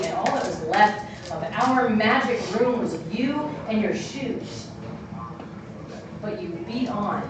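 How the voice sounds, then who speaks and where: A woman performing spoken word poetry live, lyrical and building intensity through repeated phrases. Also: medium pitch. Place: art gallery, indoors, live audience. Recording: echoey hall, distant and noisy.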